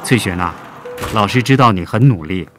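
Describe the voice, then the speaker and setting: A man acting a kindly, patient schoolteacher with a measured, encouraging manner in a radio drama. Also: lowish voice, expressive, natural voice. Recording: music behind the voice.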